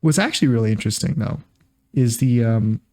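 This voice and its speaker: A man's voice: mid-range voice, fairly quick, darkish tone. A man hosting a casual comedy podcast, chatting in a joking, teasing, mock-earnest way. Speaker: podcast host.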